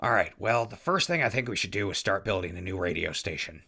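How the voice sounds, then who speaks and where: A man's voice: low voice, lively intonation, fast talker, vocal fry, bright, forceful tone, heavily acted. A man playing a wry, big-hearted wasteland radio host in an audio drama, with a folksy manner. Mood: wry, upbeat. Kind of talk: post-apocalyptic audio drama acting.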